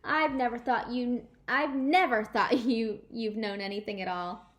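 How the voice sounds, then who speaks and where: A woman's voice: a little high, steady tone, measured pace, natural voice. Woman reading as outspoken little Junie B. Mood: insistent. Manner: earnest, matter-of-fact.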